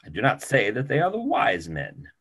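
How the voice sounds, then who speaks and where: A middle-aged actor playing a kindly, reflective old doctor in a stage play reading. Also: male voice, medium pitch, wildly swooping pitch, normal speed, fairly bright tone, a little hiss or hum. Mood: gently reasoning. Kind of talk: stage play reading over video call. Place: small room, video call.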